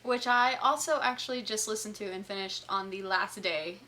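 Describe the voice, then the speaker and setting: A woman's voice: mid-range voice, moderate pace, bright, forceful tone, slight background noise. A woman with a light Southern US accent, co-hosting a book podcast in chatty, enthusiastic conversation, often restarting her sentences. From Southern United States. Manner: chatty, enthusiastic, self-interrupting. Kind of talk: casual chat.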